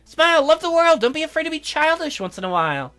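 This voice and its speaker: A man's voice: very high voice, sing-song, fast talker, pushed and bright, soft background music, pitched above the performer's own voice, heavily acted. A man voicing a cute, cheerful, teasing nerdy young woman from a visual novel, bubbly and earnest. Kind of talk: acted visual novel dialogue.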